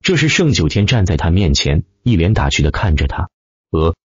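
A man narrates a fantasy tale with theatrical emphasis. His voice is low and husky, and his intonation rises and falls in wide swings as he stresses the action. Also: big pitch swings, fairly quick, no echo, muffled recording. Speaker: audiobook narrator. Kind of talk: dramatic scripted narration of a fantasy web novel.

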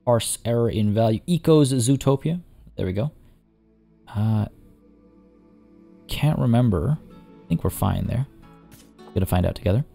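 A hoarse young man casually commenting as he plays a zoo-building game, chatty and rambling. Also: fairly low pitch, animated, a little slow, long pauses, dark tone, soft background music. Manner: rambling, chatty, many restarts and fillers. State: hoarse, losing his voice. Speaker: let's play host.

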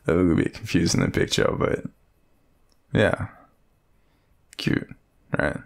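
An extremely low, crackling male voice with an Australian accent, a man acting out a shy young guy smitten with his friend. He murmurs slowly and haltingly, with lots of breath, in an intimate romantic roleplay. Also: exaggerated voice. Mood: bashful, teasing. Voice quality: gravelly, breathy, very deep. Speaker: audio roleplay performer.

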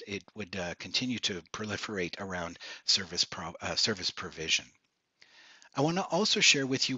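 Husky-voiced man presenting over video call. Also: fairly low pitch, sharp tone, dry recording. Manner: measured, with frequent fillers and restarts. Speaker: organization leader presenting at a town hall. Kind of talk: online presentation over slides. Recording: dull lo-fi video call audio.

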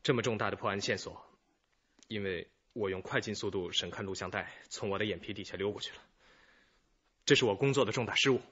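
A conscientious junior police detective in a radio drama. He sounds earnest, with a low, slightly rough voice. The audio is a bit dull. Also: fast, fairly bright tone. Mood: contrite, earnest.

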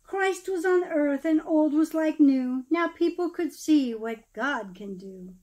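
A middle-aged woman reads a rhyming children's story aloud in an expressive, dramatic manner, pausing for emphasis. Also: high voice. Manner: dramatic, emphatic phrasing with pauses, explaining asides to children. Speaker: pastor reading a children's story.

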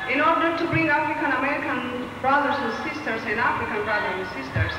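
A woman's voice: high-pitched, fast, loud music behind the voice. A woman, not a native English speaker, giving an earnest, pleading speech at a podium in a large hall. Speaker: community speaker at a rally. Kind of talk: impassioned public speech. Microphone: podium mic from a far camera. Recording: echoey hall recorded from far off.

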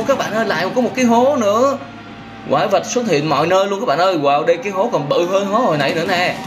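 A man's voice: on the high side, noisy background. A young man gives simple, exclamatory, repetitive commentary on a vehicle game for children. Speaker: host of a children's gaming channel.

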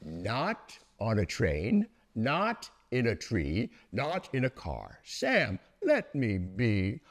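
Man as a stubborn storybook grump, wildly swooping pitch. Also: huge pitch swings, drawn-out words, heavily acted. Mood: exasperated. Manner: emphatic, singsong refusals. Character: stubborn, grumpy storybook creature refusing food.

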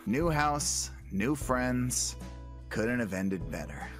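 A man acts out a young male hero in a comic-book cartoon. He is earnest and excitable, and his pitch moves very freely. Light music sits behind the voice. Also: slow pace. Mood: casual, exasperated.

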